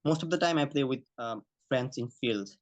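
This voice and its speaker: A man's voice: mid-range voice, normal speed, no echo. A young man from Bangladesh with a Bangladeshi accent, answering exam questions over a video call with many fillers and restarts. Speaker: test candidate. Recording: slightly muffled video call audio.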